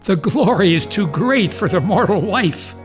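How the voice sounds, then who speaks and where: Man playing melodramatic gullible doctor, lively pitch. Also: a little slow, over music. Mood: reverent. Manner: grandiose, melodramatic. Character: gullible mad old doctor, earnest and theatrical. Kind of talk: stage farce audio play.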